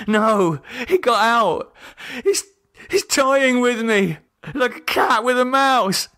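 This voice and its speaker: A terrified man trapped in the dark in a horror audiobook. His voice is pitched very high and strained with panic, frantic and stammering, swinging wildly as he raves and swears. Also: expressive, takes it slowly, heavily acted. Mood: fearful, paranoid. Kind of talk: audiobook character voice.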